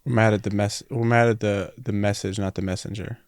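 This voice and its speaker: A man's voice: lowish voice, little pitch movement, a little fast, smooth, no echo, studio quality. A man hosting a podcast interview, talking in a thoughtful, slightly hesitant way. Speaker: podcast host. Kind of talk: interview conversation.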